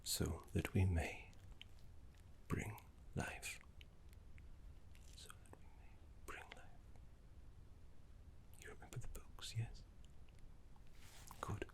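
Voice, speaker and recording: A man voicing a gentle, courteous, scholarly older necromancer teacher in a soft-spoken, encouraging ASMR roleplay, with a breathy whisper. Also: very slow, long pauses, heavily acted. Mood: calm, soothing. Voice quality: breathy, creaky low whisper. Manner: soft-spoken, genteel, encouraging, slightly fussy.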